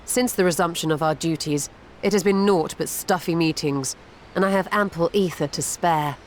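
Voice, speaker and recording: A woman's voice: fairly low pitch, moderate pace, slight background noise, lightly acted. An actress voicing a composed, analytical woman mage in a video game, speaking dryly and precisely.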